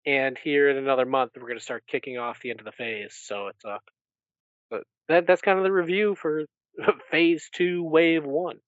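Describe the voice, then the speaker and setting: A man's voice: on the high side, steady tone, normal speed, no room sound, lo-fi sound. A man co-hosting a podcast, talking casually through a recap of books. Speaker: podcast co-host. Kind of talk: casual book recap discussion.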